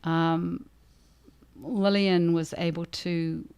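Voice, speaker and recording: Woman author co-hosting a casual livestream; very low, gravelly fry, thoughtful and halting. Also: fairly even intonation, a little mellow. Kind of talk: casual livestream chat, answering audience questions.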